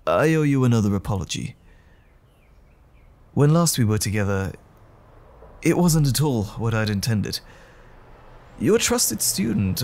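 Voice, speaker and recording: A man playing a polite, guilt-ridden gentleman teacher in an audio drama, speaking earnestly and hesitantly in formal phrases, with a breathy, husky voice. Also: mid-range voice, full character voice. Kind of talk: audio roleplay drama acting.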